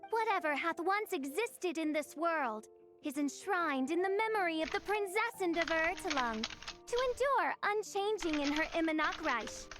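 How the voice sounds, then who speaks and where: A very high-pitched, imperious young princess from a video game. She declaims in pompous archaic phrases with theatrical flair, over music. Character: grandiloquent theatrical self-styled princess girl. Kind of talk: video game character dialogue.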